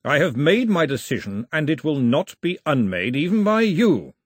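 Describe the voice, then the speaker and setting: Man doing a resolute upper-class father, clipped, understated, husky tone, close dry sound. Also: medium pitch, very animated, normal speed, no echo, voice pushed up. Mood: grave.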